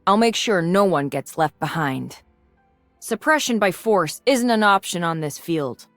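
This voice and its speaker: A woman voicing a mature, resolute and idealistic swordswoman in a video game, composed and earnest in her measured delivery. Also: lowish voice, lively intonation, fairly bright tone, no room sound, soft background music. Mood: steady, determined. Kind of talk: scripted video game character lines.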